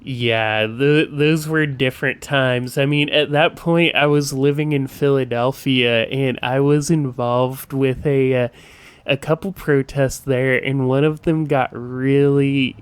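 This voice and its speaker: Man, podcast host, slow casual delivery, clean smooth voice, close dry sound. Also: medium pitch, fairly even intonation, no room sound.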